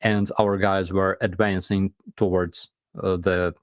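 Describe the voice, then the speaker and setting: A man telling a story in casual chat, halting with many ums and restarts. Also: deep voice, level tone, dry recording, clean studio sound.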